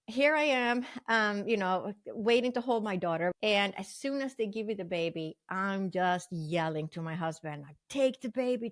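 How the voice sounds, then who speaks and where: An older woman from Sweden, a guest telling her story in a vivid, earnest way with many fillers. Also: medium pitch. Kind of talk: storytelling interview talk.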